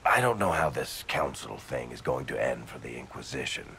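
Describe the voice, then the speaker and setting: An actor playing a teasing, offhand dwarf storyteller in a video game. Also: male voice, extremely low pitch, very animated, moderate pace, vocal fry, pushed and bright, slight background noise, heavily acted. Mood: wry. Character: wry, easygoing male dwarf storyteller.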